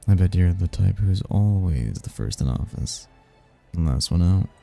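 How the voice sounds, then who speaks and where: Casting: male actor as suave demon seducer for ASMR roleplay, very low gravelly voice, courtly and flirtatious, prideful. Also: animated, moderate pace, mellow, heavily acted. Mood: admiring, teasing. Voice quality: husky, gravelly. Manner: smooth-talking, courtly, flirtatious. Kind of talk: ASMR roleplay, one-sided romantic conversation. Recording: music behind the voice.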